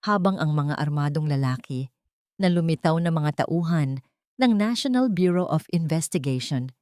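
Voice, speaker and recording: A woman narrating a scripted audio drama in a steady, dramatic storytelling manner. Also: sits low, dry recording, clean studio sound.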